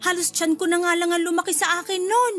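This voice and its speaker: A woman's voice: very high voice, steady tone, a little fast, fairly bright tone, faint music behind. A woman playing a meddling middle-aged mother-in-law in a radio drama, chatty and sweetly critical. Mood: needling. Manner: chatty, fussing, needling under politeness.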